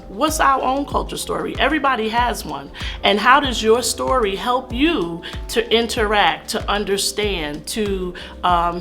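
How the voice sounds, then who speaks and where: A woman educator speaking in an animated way in an interview, posing rhetorical questions. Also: mid-range voice.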